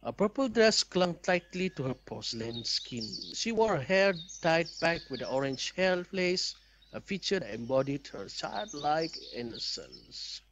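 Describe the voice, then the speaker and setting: A man reading a visual novel game aloud as an expressive playthrough narrator. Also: on the high side, a little slow, highs cut off, background noise. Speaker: video game player and narrator.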